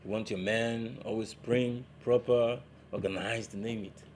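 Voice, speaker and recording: A man's voice: medium pitch, fairly even intonation, measured pace, darkish tone, a little hiss or hum, lightly acted. An actor playing an easygoing, self-assured married IT man chatting with a colleague in a stage play. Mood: apologetic, chatty.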